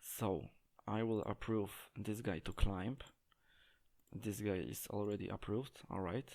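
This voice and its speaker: Low, flat-voiced man explaining haltingly. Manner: hesitant, halting, searching for words. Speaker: game tutorial presenter. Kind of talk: unscripted gameplay tutorial commentary.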